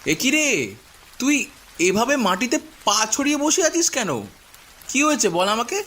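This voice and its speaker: Man voicing a high, animated cartoon village fellow. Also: unhurried, full character voice. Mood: surprised. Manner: exclamatory, folksy, repeats himself. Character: anxious, chatty young village man, teasing older cousin. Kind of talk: cartoon voice acting.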